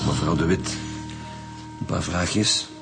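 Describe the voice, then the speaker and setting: An actor playing a grave, steady chief inspector in a radio drama, with a Flemish accent and gravelly voice. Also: male voice, deep voice, slow, exaggerated voice. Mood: probing. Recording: music behind the voice.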